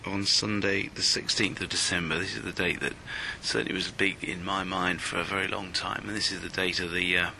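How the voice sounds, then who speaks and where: A deep man talks into a handheld recorder with a bright, forceful tone, pausing often on fillers as he records a casual audio diary. His voice stays low and flat. A faint rumble runs under it. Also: gravelly, rough recording. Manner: hesitant, many fillers. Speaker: podcast host. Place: apartment, early morning.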